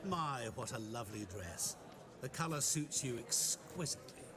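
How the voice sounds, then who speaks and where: An actor playing a sly, all-knowing mysterious male stranger in a game cutscene, talking in a smooth, theatrical, mocking way. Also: medium pitch, lively intonation, moderate pace, crowd noise, lightly acted. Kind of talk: video game cutscene acting.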